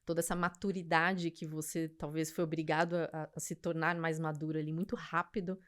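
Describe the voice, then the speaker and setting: A woman's voice: deep voice, little pitch movement, fairly quick, a bit bright, smooth. A woman with a Brazilian accent hosts a podcast conversation, affirming her guest and adding her own reflections. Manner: affirming, frequent listener responses. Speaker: podcast host. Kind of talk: conversational interviewing.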